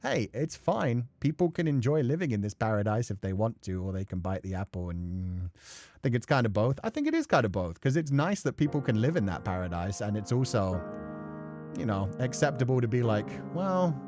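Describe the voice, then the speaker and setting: A man's voice: mid-range voice, big pitch swings, a little fast, darkish tone. A man livestreaming in a casual, rambling, thoughtful way, swearing now and then as he chats with viewers. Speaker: streamer and voice actor who plays visual novels. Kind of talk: casual livestream chat, reading and answering viewer comments. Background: music.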